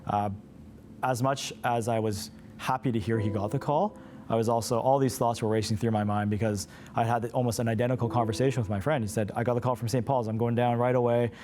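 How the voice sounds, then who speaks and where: A man's voice: mid-range voice, fairly even intonation, brisk, darkish tone. A man speaking candidly as an interview guest, in an earnest, conversational way. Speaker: interview guest, patient's brother. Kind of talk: candid personal storytelling in an interview.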